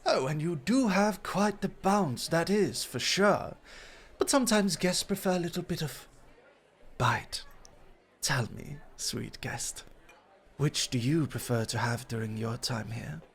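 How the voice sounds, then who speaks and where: Guy doing a flirty dog host guy for asmr roleplay, kinda high voice, suave n teasing, fancy polite wording. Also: animated, unhurried, slightly rough, exaggerated voice. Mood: flirty, coy. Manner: polished, coy, formal phrasing.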